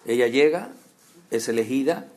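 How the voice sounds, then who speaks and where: A man's voice: medium pitch, animated, normal speed, a little mellow. Chatty preacher, man. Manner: conversational, addresses listeners directly with rhetorical questions. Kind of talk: sermon.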